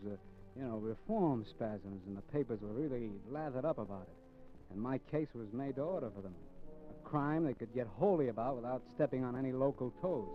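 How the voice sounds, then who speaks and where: An actor playing a cocky, scheming crook in a 1950s radio crime drama, slangy and sardonic. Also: male voice, mid-range voice, lightly acted. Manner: hard-boiled, slangy, sardonic. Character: cocky, cynical, scheming male crook narrating his crime. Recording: muffled old 1950s radio recording.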